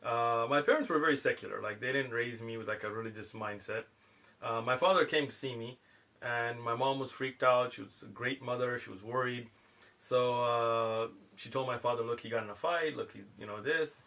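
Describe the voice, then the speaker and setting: A man's voice: medium pitch, brisk, gravelly, sharp tone. A middle-aged man, born in Pakistan, telling stories in a casual chat and laughing often. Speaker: guest. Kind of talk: casual storytelling.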